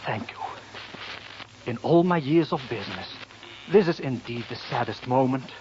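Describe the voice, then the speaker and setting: A man's voice: medium pitch, expressive, moderate pace, lo-fi sound, natural voice. Male merchant acted, formal and mournful, swooping intonation, 1940s radio drama on a faintly noisy recording. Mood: solemn, formal. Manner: formal, stiff, foreign phrasing. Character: grave, formal Dutch businessman, outwardly grieving, money-minded.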